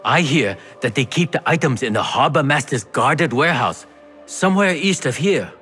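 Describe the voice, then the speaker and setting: An actor playing a sly, theatrical merchant and smuggler with a teasing, playful manner in a video game. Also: male voice, mid-range voice, expressive, normal speed, fairly bright tone, lightly acted. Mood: wry, scheming. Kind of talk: video game cutscene acting. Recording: music behind the voice.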